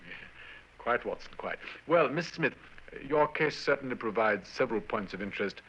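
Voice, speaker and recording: An actor playing a clever Victorian detective in an old radio drama, speaking crisply and briskly. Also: male voice, medium pitch, animated, a bit bright. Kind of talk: 1940s radio acting. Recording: dull old radio broadcast.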